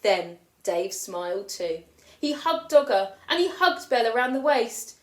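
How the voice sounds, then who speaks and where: A woman teacher reading a children's picture book aloud with expressive storytelling, lifting her voice for the children's lines. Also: a little high, a little slow. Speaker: primary school teacher. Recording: roomy echo.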